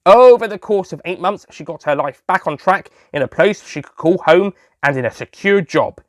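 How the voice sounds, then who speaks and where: A man's voice: high-pitched, lively intonation, normal speed, dry recording, clean studio sound, pitched above the performer's own voice. A man playing a jolly, sentimental male sweet-shop owner who tells his own story in a fond, theatrical manner for an audio drama. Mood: fond, reminiscing.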